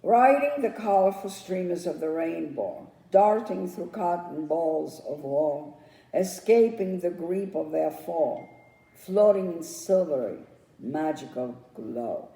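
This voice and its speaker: A woman reading her children's story aloud as its author, with dramatic, drawn-out storytelling phrasing. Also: on the low side, lively intonation, creaky, mellow. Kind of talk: storybook read-aloud narration. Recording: roomy echo, distant microphone.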